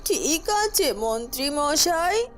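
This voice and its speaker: A woman voicing a sly female palace accomplice in a fairy-tale cartoon, slow, coaxing and concerned. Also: sits high, sing-song, a bit bright, slight background noise, exaggerated voice. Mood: compliant.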